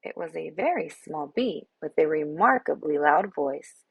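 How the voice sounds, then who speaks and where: A woman's voice: deep voice, expressive, measured pace, vocal fry, husky, no room sound, muffled recording. A woman reading a children's picture book aloud expressively, as a storyteller. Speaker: read-aloud host, storyteller.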